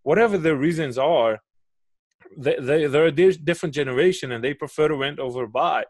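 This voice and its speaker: A man's voice: a little high, brisk, dry recording. A man in his forties from Israel with an Israeli accent, a guest explaining his views on a video call and often checking in with "right?". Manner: explanatory, makes a point then asks "right?". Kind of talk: interview answers. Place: home office. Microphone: headset with studio mic on a video call.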